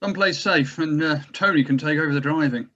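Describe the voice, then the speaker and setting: A man's voice: a little high, brisk, pushed and bright, dull audio. A man playing a mild, learned doctor who speaks formally and hesitantly in a drama table read. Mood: wry reassurance. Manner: hesitant, stammering, wordy and formal. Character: mild, fussy, learned male doctor at the wheel. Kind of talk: table read of a horror screenplay.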